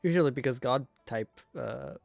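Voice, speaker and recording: An ordinary mid-pitched man chats his way through a game stream. His commentary is informal and slangy, drifting into rambling asides, with music faintly behind him in a small bedroom. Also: normal speed, vocal fry, dry recording. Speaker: game livestreamer. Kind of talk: casual gameplay commentary, reading dialogue aloud.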